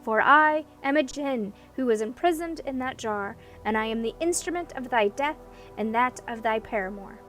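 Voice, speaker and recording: A woman voicing a huge stern male djinn in a storybook, booming, with grand archaic, pompous speech. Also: expressive, normal speed, fairly bright tone, soft background music. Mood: grand, menacing.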